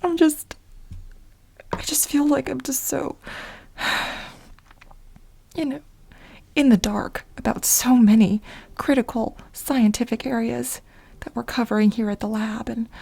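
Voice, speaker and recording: A woman playing an anxious, needy lab researcher in a soft-spoken ASMR role play, breathy and often slipping into a whisper, halting and rambling. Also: a little high, slight background noise. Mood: tearful, insecure. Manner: halting, rambling, emotional, repeats herself.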